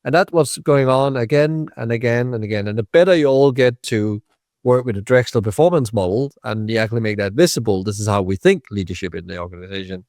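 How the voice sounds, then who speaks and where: Animated man hosting. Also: mid-range voice, a little fast, no echo, clean studio sound. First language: Danish. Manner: animated, emphatic, checks in with tag questions. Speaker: podcast host. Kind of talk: conversational interview.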